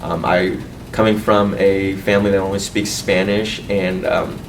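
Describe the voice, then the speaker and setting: A man in his twenties from Colombia who grew up in California, giving an earnest, halting personal testimonial as a student. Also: flat delivery. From Colombia, raised in California. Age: 20s. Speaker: medical student and university board representative. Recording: distant mic, faint rumbling noise.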